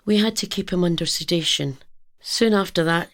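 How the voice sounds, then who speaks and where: A woman playing an earnest, clinical research scientist in a radio drama, formal and measured with some anxiety underneath. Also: lowish voice, fairly bright tone. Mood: grave, clinical.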